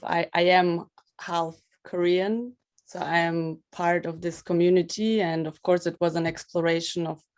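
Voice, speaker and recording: A woman's voice: fairly low pitch, darkish tone. A woman from Russia, a film director, answering interview questions thoughtfully over a video call, searching for words as she explains. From Russia, raised in Ukraine.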